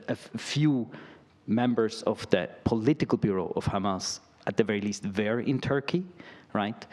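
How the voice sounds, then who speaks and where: A man's voice: medium pitch, darkish tone. A middle-aged man giving an academic lecture and answering questions, talking loosely with lots of "right?" and "I mean". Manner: conversational, peppered with "right?" and "I mean".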